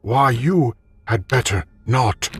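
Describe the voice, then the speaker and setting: Male narrator doing a cruel old man on his deathbed: high, thin, very slow, menacing. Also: very animated, a bit bright, exaggerated voice. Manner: slow, drawn-out and ominous. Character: dying old man, sinister, cruel and secretive.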